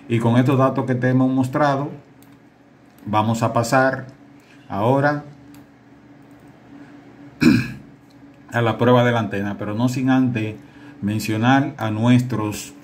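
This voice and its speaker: A man hosting a DIY channel, speaking informally and directly to the viewer as he explains in a tutorial style. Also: mid-range voice. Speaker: host of a DIY antenna channel. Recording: clearly noisy home-made phone recording.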